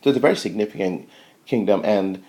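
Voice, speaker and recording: Male lecturer, low-pitched with plenty of fry, informal and halting explanatory delivery. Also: dark tone. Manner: halting, thinking aloud, checks in with "okay" and "right".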